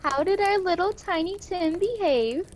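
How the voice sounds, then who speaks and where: A woman's voice: very high-pitched, a little slow. A woman playing a poor, protective wife and mother with emphatic, scornful delivery in a radio play. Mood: tender. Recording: faintly noisy home recording.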